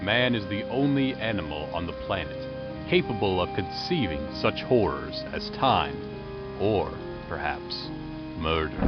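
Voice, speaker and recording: An actor playing an ominous, philosophical storyteller, narrating a gothic audio drama theatrically with dramatic pauses and drawn-out words. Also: male voice, fairly low pitch, highs cut off. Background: prominent music.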